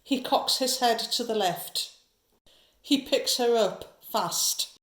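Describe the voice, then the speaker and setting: A woman's voice: on the high side, takes it slowly, reverberant, poor audio. A woman narrating a horror audio drama from a script, describing each scene.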